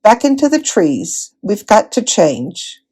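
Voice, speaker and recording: A woman voicing a brisk, practical older-sister girl in a storybook, knowing and matter-of-fact. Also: medium pitch, big pitch swings, dark tone, husky, heavily acted.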